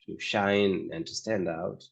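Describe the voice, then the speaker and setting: A man whose first language is Swahili, interviewing a guest with halting, searching questions. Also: low-pitched, steady tone, creaky, slightly rough. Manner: halting, searching for words, many restarts. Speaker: interviewer, host. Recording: slightly dull lo-fi sound.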